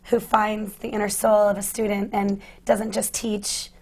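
A woman speaking as an actress on a panel, with a low, husky, raspy voice. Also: completely flat delivery, a little slow, rough recording. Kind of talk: panel discussion.

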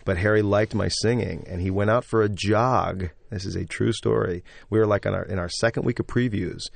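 An enthusiastic male stage actor speaks as an interview guest in a loud, somewhat braying voice. His voice is low, with a gravelly edge. The sound is dry, with no room around it. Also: steady tone, a little fast, no echo. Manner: enthusiastic, effusive. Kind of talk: casual interview talk.

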